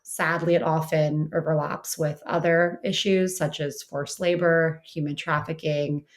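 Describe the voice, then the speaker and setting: A woman's voice: low voice, flat delivery, measured pace. A woman speaking as an interviewed expert, explaining conversationally with frequent "you know" and "kind of". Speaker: interviewed researcher and writer.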